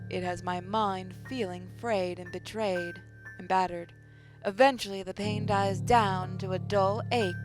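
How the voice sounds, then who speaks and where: Woman reading dramatically over clear music. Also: fairly low pitch. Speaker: gaming channel host reading a visual novel aloud. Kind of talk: dramatic first-person story reading with casual asides. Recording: clear game music behind the voice. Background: game music.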